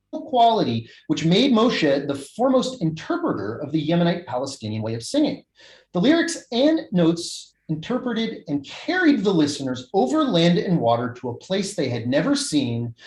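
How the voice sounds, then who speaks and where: Guy reading his conference paper on a video call, kinda high voice, lots of up and down, echoey room. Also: darkish tone. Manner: measured, formal reading with scholarly phrasing. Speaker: academic conference speaker. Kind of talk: reading a prepared research paper.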